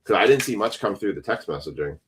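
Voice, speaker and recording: A man's voice: lowish voice, animated, fast, a little hiss or hum. A man casually chatting on a live stream while opening trading card packs, rambling to his viewers.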